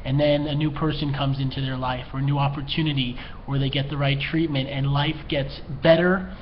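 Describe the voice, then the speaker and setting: A man's voice: mid-range voice, level tone, brisk. A man speaking as a mental health educator in an earnest, heartfelt personal talk, emotional and slightly tearful as he pleads with the listener. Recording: dull lo-fi sound, faint background noise.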